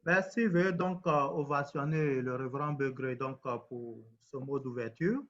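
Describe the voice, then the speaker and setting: A man's voice: mid-range voice, moderate pace, a bit bright. A man acting as master of ceremonies, formally introducing segments over slightly dull online call audio. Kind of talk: formal hosting of an online event.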